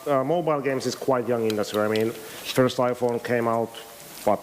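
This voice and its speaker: A man's voice: mid-range voice, normal speed. A man, a game company head interviewing a guest on a panel, asking long questions full of fillers. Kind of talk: panel conversation. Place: event stage. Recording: hissy hall with long reverb.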